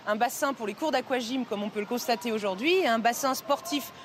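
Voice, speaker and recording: A woman's voice: a little high, fairly quick, noisy background. A woman speaking as a local official in an interview on location.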